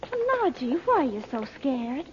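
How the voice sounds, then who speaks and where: Curious, excitable girl, extremely high and youthful, vintage radio drama, muffled sound. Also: measured pace. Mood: eager. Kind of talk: old-time radio drama acting. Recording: muffled, hissy old radio recording.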